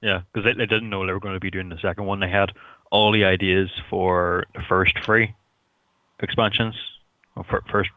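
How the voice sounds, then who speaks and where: A man with a lowish voice hosts a casual gaming conversation. He comes through dry and narrow, as if on a tinny phone line. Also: flat delivery, normal speed, no room sound. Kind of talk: casual chat about video games.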